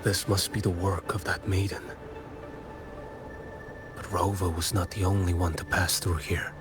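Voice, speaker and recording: Deep male voice, unhurried, brooding game swordsman, solemn, terse, prominent music behind. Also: flat delivery. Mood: brooding, focused. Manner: slow, solemn, measured, terse. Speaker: video game character. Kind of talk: video game voice acting.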